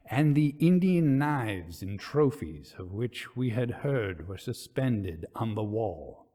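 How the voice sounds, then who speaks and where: Expressive male ghost story narrator. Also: medium pitch. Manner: theatrical, deliberate, suspenseful. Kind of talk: dramatic reading of a gothic ghost story.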